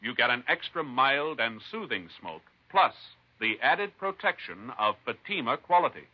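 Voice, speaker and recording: A man's voice: medium pitch, sing-song, moderate pace, dry recording. A man with an American accent announcing in a formal, emphatic 1950s radio style. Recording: dull vintage radio recording.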